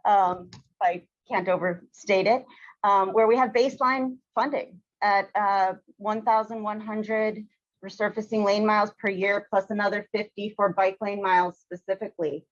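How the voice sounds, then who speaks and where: A woman's voice: medium pitch, steady tone, normal speed, dry recording. Upbeat woman official, dry muffled audio. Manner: upbeat, many ums. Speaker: city transportation official. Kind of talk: testimony at a council hearing.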